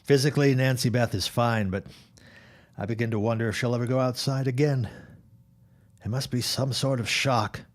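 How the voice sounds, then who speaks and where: A man's voice: medium pitch, steady tone, moderate pace, lightly acted. An actor playing a resolute, protective father and neighbor of the early twentieth century in an audio drama. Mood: troubled, concerned.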